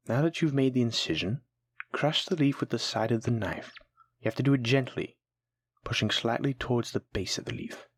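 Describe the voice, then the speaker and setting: A voice actor playing a kind, patient alchemy teacher in a fantasy audio roleplay, gently encouraging and instructing a new apprentice. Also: medium pitch, dry recording, clean studio sound. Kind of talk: soft-spoken scripted fantasy audio roleplay.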